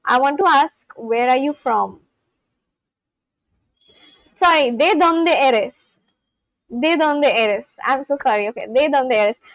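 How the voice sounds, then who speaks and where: A woman's voice: sits high, slow pace, long pauses, no room sound, rough recording. A young woman from India with an Indian English accent, chatting casually on a live stream, rambling with lots of fillers and restarts. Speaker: livestream host.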